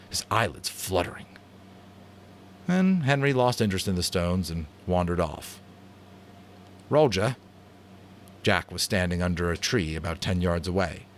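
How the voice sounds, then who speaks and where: A man doing expressive, dramatic audiobook narration, sometimes stumbling on a word and correcting himself. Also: fairly low pitch, a little hiss or hum. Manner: expressive, with small slips he corrects aloud. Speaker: amateur audiobook reader.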